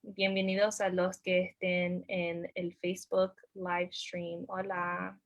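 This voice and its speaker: A woman's voice: lowish voice, completely flat delivery, unhurried. A woman hosting an online community info session in a plain announcing way, switching between two languages. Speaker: event host. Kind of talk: bilingual event moderation.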